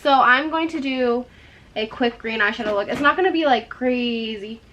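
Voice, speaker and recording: A young woman giving a chatty, rambling makeup tutorial, her voice a little hoarse from a cold. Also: on the high side, moderate pace, pushed and bright, dull audio, a little hiss or hum. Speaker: makeup vlogger.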